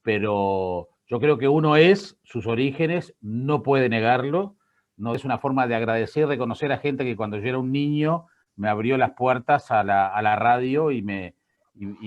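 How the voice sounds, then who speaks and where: A man's voice: mid-range voice, muffled recording. A man, an artist speaking on a panel over a video call in a reflective, personal way. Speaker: artist guest. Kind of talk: panel discussion.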